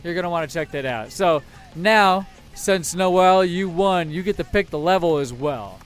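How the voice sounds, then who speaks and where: A man's voice: high voice, normal speed, clear, resonant tone. An American man hosting a casual game livestream, talking in an enthusiastic, rambling way. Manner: enthusiastic, rambling, mild swearing. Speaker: livestream host. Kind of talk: casual game streaming chat. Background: faint music.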